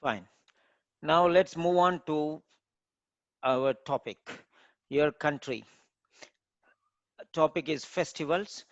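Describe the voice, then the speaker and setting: Man in his role as examiner: measured, formal questions, slightly higher voice, dry dull audio. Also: no room sound. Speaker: IELTS trainer playing examiner. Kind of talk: mock exam interview.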